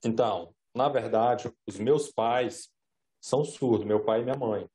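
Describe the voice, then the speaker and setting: A man whose first language is Brazilian Sign Language, a sign language interpreter speaking calmly in an interview while signing, with plain storytelling and filler tags. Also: mid-range voice, measured pace, low-quality recording. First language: Brazilian Sign Language (Libras).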